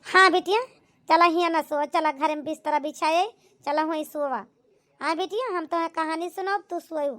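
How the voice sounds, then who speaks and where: A woman voicing a doting, gentle village mother in a homemade cartoon, with an Awadhi accent and a pitched-up, coaxing delivery. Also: fairly even intonation, normal speed. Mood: soothing. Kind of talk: homemade cartoon comedy-drama.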